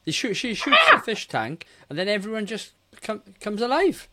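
A man's voice: sits high, huge pitch swings, moderate pace, bright, forceful tone. A man in his forties co-hosting a film review podcast in a casual, conversational style. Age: 40s. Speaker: podcast co-host.

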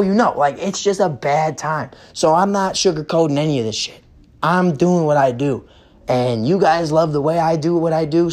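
A hoarse young man, hosting a casual sports podcast and ranting bluntly with lots of swearing while losing his voice. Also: on the high side, darkish tone. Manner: profane, blunt, fired up.

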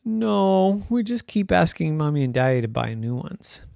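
A woman's voice: lowish voice, sing-song, normal speed, creaky, dark tone, no echo, clean studio sound, pitched above the performer's own voice. A woman voicing a couple of teasing, stubborn older brothers who bicker bluntly. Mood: dismissive. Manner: bossy, blunt, sing-song bickering.

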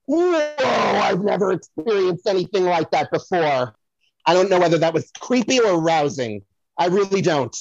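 A woman's voice: fairly low pitch, lively intonation, moderate pace, gravelly, a little mellow, no echo. A woman playing a brash, foul-mouthed, rambling celebrity psychic in a comedic podcast audio play. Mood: overwhelmed, shrieking. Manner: theatrical, digressive, addressing the host by name. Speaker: guest playing a comic psychic. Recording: dull lo-fi dry audio.

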